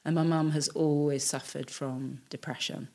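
Low-voiced woman in her fifties telling her story. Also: little pitch movement, moderate pace, darkish tone. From born in Tanzania, raised in Sweden. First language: English first, then Swedish. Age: 50s. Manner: chatty, laughs often, trails off and restarts. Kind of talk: casual conversational storytelling.

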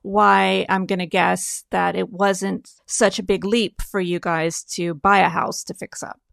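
A woman interviewing guests, asking friendly questions and nodding along with quick affirmations. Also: fairly low pitch, flat delivery, vocal fry, fairly bright tone, no room sound, studio quality. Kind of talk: casual interview.

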